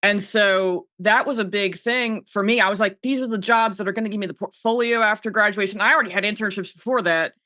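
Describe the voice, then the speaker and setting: A woman's voice: medium pitch, fast talker, hardly any pauses, bright, forceful tone, no room sound. A woman podcast guest telling personal stories in a casual, informal way, often quoting herself as she goes. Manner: informal, self-quoting storytelling. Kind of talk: casual chat, personal anecdotes.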